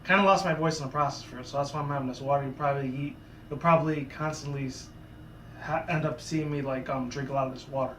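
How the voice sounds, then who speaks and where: Male vlogger, voice a bit hoarse and fairly high, rambling casual talk in a roomy echoey room. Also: steady tone, quick pace, a bit bright, slight background noise. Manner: rambling, full of asides and restarts. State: hoarse, sore voice. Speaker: YouTuber. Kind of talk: casual commentary vlog.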